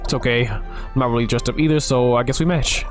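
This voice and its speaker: A man voicing a calm, shy young male cyborg ninja in a dating game, speaking softly and earnestly. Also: mid-range voice, fast, soft background music, lightly acted.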